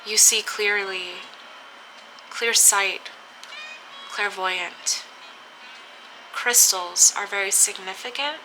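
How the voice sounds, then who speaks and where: Slow, dreamy woman reading tarot. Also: medium pitch, background noise. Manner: slow, dreamy, with soft laughs and pauses. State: stuffy from a cold. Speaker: tarot reader. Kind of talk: spiritual tarot reading for camera.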